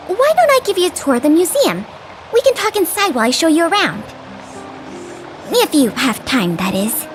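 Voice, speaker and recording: A polite, earnest young woman guiding visitors in a video game. Her voice is high and very animated, eager and friendly as she explains. Faint music plays underneath. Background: faint background music.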